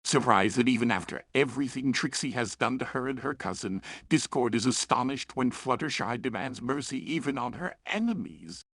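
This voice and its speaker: Lively, gravelly man reviewing stories. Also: mid-range voice, normal speed, hardly any pauses, no room sound. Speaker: story reviewer. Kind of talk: scripted review narration.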